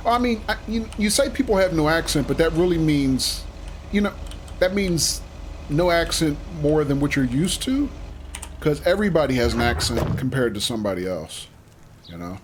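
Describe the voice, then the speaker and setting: A man's voice: on the high side, lively intonation, normal speed, a little hiss or hum. A man streaming a game live, chatting casually with viewers, reading out their messages and greeting them by name. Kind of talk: casual livestream chat while playing.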